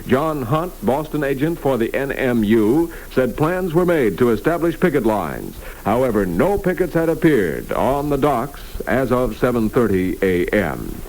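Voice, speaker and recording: A man's voice: mid-range voice, big pitch swings, a little slow, hardly any pauses, darkish tone, low-quality recording. A man reading scripted news as an anchor on a vintage 1960s radio broadcast.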